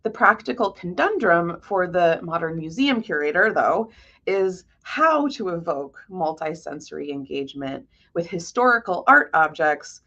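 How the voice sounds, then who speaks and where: A woman delivers a prepared art history lecture in a lowish voice with noticeable vocal fry. Her delivery is measured, articulate and scholarly. The audio is slightly dull and muffled. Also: expressive, bright, forceful tone.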